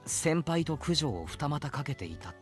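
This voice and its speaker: A man's voice: on the high side, very animated, fast talker, music in the background. A man voicing an easygoing, courteous teenage schoolboy in a visual novel, politely informal. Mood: sheepish. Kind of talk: Japanese visual novel voice acting.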